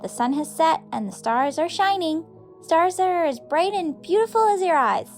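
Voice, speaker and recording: A woman's voice: very high voice, expressive, normal speed, darkish tone, faint music behind. A woman playing an adoring schoolgirl who reads a flowery love letter in a gushing, dramatic audiobook style. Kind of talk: audiobook character reading.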